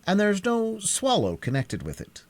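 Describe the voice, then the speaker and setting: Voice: mid-range voice, huge pitch swings, a little slow, voice pushed up. A reader voicing a polite, earnest stuffed scarecrow in a storybook, speaking emphatically.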